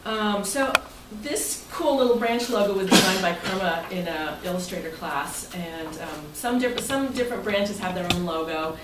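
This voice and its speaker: A woman's voice: medium pitch, normal speed, few pauses. Lady chatting to a club meeting, casual, echoey room, mic far off, kinda noisy. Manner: chatty, conversational, informal. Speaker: club president presenting to members. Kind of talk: informal club presentation. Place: indoor meeting room with members present.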